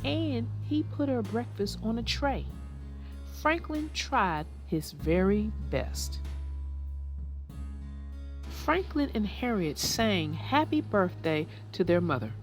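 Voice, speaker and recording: A woman narrating a children's storybook aloud as host, expressive and sing-song, then chatting about the story. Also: mid-range voice, slow pace, soft background music.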